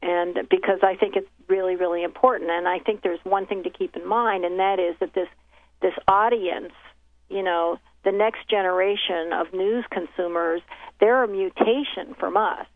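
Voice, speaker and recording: A woman's voice: fairly low pitch, fairly even intonation, normal speed, dry recording. A woman from Haiti whose first language is Haitian Creole, a journalist guest on the phone who talks loosely with many restarts and fillers. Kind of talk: casual interview talk. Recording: tinny phone line.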